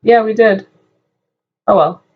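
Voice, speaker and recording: A woman's voice: mid-range voice, slow, narrow, tinny audio. A French-speaking woman co-hosting a casual chat, asking questions with frequent giggles.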